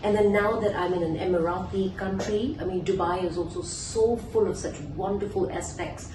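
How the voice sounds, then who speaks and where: A woman's voice: medium pitch, steady tone, normal speed, noisy background. Chatty woman in an echoey room. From Singapore. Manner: chatty and enthusiastic, stacking long lists. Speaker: guest, collage artist. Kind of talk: casual interview answers. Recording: echoey room, voice from a distance, faint ambience.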